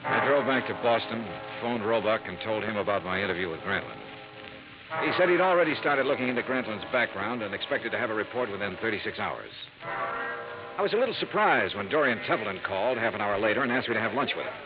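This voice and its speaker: Low-voiced hard-boiled radio investigator. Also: male voice, animated, quick pace, music in the background. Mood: matter-of-fact narration. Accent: American. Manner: clipped, matter-of-fact, hard-boiled. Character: cool, probing male freelance insurance investigator. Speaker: lead actor and narrator. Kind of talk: 1950s radio noir acting. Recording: muffled, noisy vintage radio recording.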